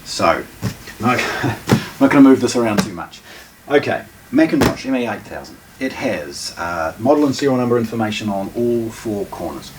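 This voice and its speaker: A man gives casual, unscripted unboxing commentary, halting and matter-of-fact as he thinks aloud while handling things. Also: medium pitch, lively intonation. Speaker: presenter of a hi-fi shop's unboxing video. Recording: distant mic, noisy room with handling clatter.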